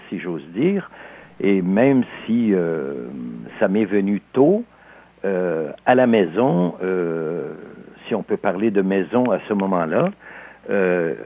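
An older man speaks with a Québécois accent, his low voice husky. He answers slowly and reflectively, pausing often as he searches for words. The sound is thin and phone-like. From Alsace, France. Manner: measured, halting with frequent hesitations. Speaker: interview guest, novelist. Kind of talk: reflective interview talk.

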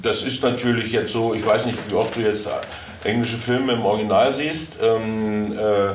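A man giving informal answers as an audio drama producer, haltingly and searching for his words. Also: fairly low pitch, steady tone. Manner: halting, searching for words, many false starts. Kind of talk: informal question-and-answer talk. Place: indoor convention hall, live event. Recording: echoey, noisy room, far from mic.

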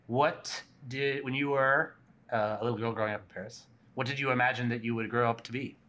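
A man's voice: mid-range voice, steady tone, brisk, sharp tone, muffled recording. A man hosting a podcast interview at a conference, asking friendly, curious questions. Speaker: podcast host. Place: conference venue.

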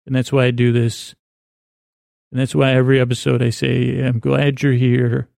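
A man with a creaky voice hosting a bedtime podcast in a meandering, improvised monologue, halting and often trailing off mid-sentence. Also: medium pitch, level tone, darkish tone, dry recording, clean studio sound. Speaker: podcast host.

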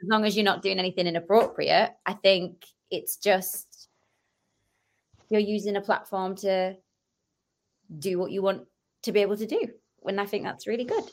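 A woman's voice: medium pitch, fairly even intonation, normal speed. A woman podcast guest, an actress and comedian, chatting casually and telling anecdotes, often acting out the dialogue.

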